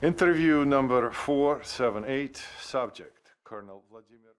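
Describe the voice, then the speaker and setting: An actor playing a smooth, guarded Soviet political interviewer in a video game. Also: male voice, mid-range voice, animated, measured pace, natural voice. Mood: calm, guarded. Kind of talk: dramatic video game acting.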